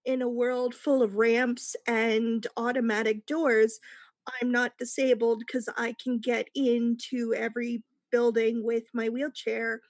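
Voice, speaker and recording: A woman's voice: on the high side, level tone, measured pace, dry recording. A woman panelist in a casual discussion, reflective and given to explaining her points.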